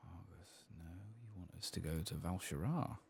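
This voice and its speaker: Low-pitched soft-spoken man with creaky voice. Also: a little hiss or hum. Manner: murmured, unhurried musing to himself. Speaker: gaming video host. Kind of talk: soft-spoken ASMR game commentary, sometimes whispered.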